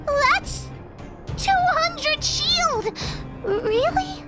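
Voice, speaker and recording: Stubborn little girl, video game character, very high childlike voice, measured pace, blunt, boastful, over music. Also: animated, heavily acted. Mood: amazed. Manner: blunt, bossy, refers to self in third person. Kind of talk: animated video game voice acting.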